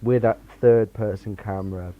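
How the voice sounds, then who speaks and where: A man's voice: on the low side, steady tone, normal speed. A man chatting casually as an author and panelist in a livestream book discussion, on a lo-fi microphone. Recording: dull lo-fi mic with hiss.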